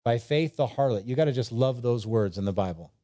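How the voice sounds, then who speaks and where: A male preacher with a soft-edged, mellow voice at a middling pitch. He delivers his sermon in an informal, conversational way, slipping into imagined dialogue and leaning on rhetorical questions and repetition. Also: lively intonation, a little fast.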